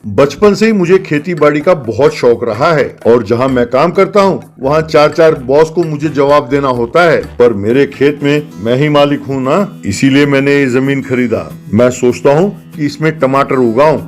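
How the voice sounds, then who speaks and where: A man playing a proud, stubborn young engineer turned farmer in an animated comedy story. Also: mid-range voice, moderate pace, hardly any pauses, faint music behind, close to an everyday voice. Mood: earnest.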